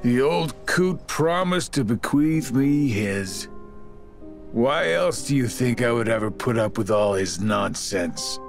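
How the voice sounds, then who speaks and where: Sly, drawling villain. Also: male voice, mid-range voice, lively intonation, takes it slowly, faint music behind. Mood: bitter, smug. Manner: sardonic, drawling, taunting. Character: sly, mocking one-eyed middle-aged male villain. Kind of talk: English video game cutscene acting.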